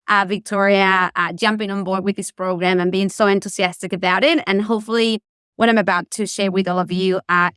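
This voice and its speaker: A woman's voice: medium pitch, fairly bright tone, clear, resonant tone, no room sound, studio quality. Chilean-accented woman giving a webinar talk. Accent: Chilean Spanish. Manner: enthusiastic, many fillers. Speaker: tourism sustainability presenter. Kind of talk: webinar presentation. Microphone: video call.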